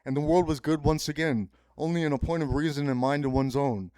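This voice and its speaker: A man's voice: fairly high pitch, steady tone, fairly quick, dark tone, no echo. A man hosting a solo podcast, delivering a rambling, grandiose, pseudo-philosophical monologue that repeats itself and breaks into sudden profanity. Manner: repetitive, circling phrasing. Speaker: podcast host.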